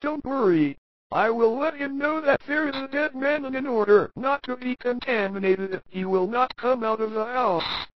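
A man doing a soft, high-voiced eager helper. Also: moderate pace. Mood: reassuring. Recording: dull lo-fi dry recording.

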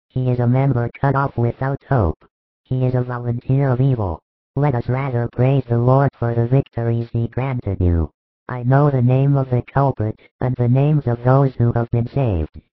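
A man voicing a calm, authoritative male teacher who speaks in a solemn, measured, firm way. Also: medium pitch, no echo, natural voice. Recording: muffled dry recording.

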